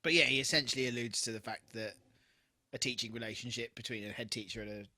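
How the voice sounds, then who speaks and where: A man co-hosting a re-watch podcast in casual chat, animated and prone to acting out bits of dialogue as he retells scenes. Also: mid-range voice, fast. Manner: animated, often breaks into laughter, swears casually. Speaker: podcast co-host.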